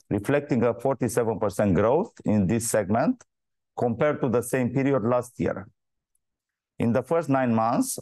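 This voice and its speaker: A man in the role of a company executive reads a prepared financial presentation in a measured, formal manner. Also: fairly low pitch, warm tone, no echo. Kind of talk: scripted earnings call presentation.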